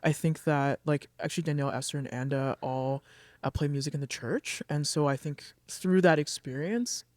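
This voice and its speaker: Upbeat guy from a band chatting away, slightly high voice, dry sound. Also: moderate pace, darkish tone, no room sound. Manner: upbeat, chatty, laughs easily. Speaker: band member. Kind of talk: casual interview chat.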